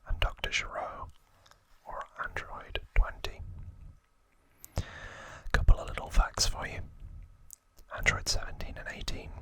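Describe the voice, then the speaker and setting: A man whispering softly in a breathy, unhurried ASMR style as he explains trivia informally, pausing between short phrases. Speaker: ASMR video host.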